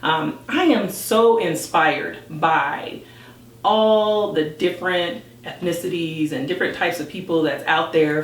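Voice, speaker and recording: An American woman hosting a podcast interview in a chatty, informal and excited way. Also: deep voice. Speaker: podcast host. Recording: roomy, distant mic with background noise.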